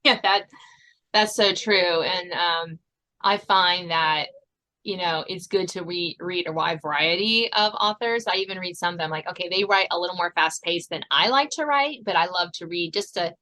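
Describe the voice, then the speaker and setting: A woman's voice: on the low side, normal speed, pushed and bright, rough recording. A woman hosting a podcast in a casual interview, chatty and given to laughing. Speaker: podcast host.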